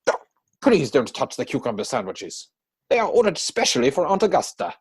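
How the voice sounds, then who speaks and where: A man acting a witty, idle young gentleman from a period comedy. His voice is slightly husky and swings widely in pitch, with arch, playful self-satisfaction in a theatrical reading. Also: big pitch swings, a little fast. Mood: witty, pompous. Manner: mannered, arch, theatrical delivery.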